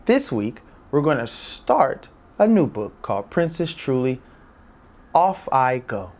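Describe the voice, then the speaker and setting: A man's voice: mid-range voice, very animated, takes it slowly, a little mellow. A man in his thirties presenting a story to young children with upbeat, smiling expression, on a lapel mic. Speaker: children's read-aloud host.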